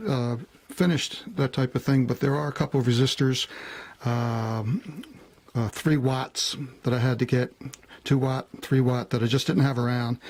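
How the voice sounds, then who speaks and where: A man giving a casual, unscripted demonstration as a hobbyist repairer, halting and methodical. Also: mid-range voice, darkish tone. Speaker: hobbyist radio restorer. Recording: clearly noisy hobby video recording.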